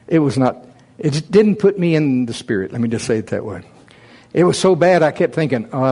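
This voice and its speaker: A middle-aged man giving a folksy, self-deprecating testimony as a preacher, telling personal stories in an animated way. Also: medium pitch. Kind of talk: sermon, personal storytelling.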